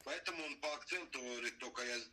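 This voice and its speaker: A middle-aged man in a casual interview, recounting events in a halting way and circling back with repeated filler phrases. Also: mid-range voice, distant mic, very noisy. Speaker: interviewee, father of a detained son. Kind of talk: casual spoken testimony.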